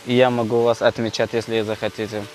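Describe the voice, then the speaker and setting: A young man from Tajikistan with a Tajik accent, a street vlogger chatting with passers-by in halting Russian full of fillers and restarts. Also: on the low side, flat delivery, low-quality recording. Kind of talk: casual street chat and singing. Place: outdoors in a city park.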